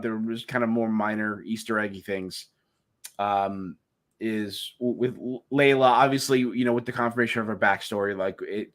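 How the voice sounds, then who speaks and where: A middle-aged man co-hosting a casual live chat, talking in a halting way with many restarts. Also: fairly low pitch. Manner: halting, many restarts and fillers, laughs easily. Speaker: co-host.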